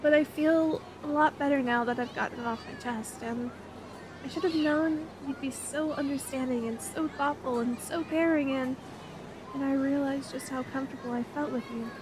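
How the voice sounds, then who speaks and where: A woman playing a sweet, bashful young woman talking to her best friend in an ASMR roleplay, expressive and a little hesitant. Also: high voice, normal speed, noisy background, natural voice. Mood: tender, vulnerable. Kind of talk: ASMR roleplay audio drama.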